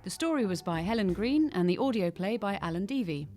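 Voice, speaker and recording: A woman's voice: medium pitch, animated, a little fast, darkish tone, faint music behind. A woman with a British accent reading scripted credits as the announcer of an audio production. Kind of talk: scripted narration.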